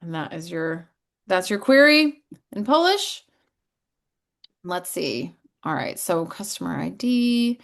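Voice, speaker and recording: A woman's voice: on the low side, very animated, takes it slowly, creaky, long pauses, a bit bright. A woman in sales hosting a casual company demo, upbeat and friendly, with frequent fillers. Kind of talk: casual chat on video call.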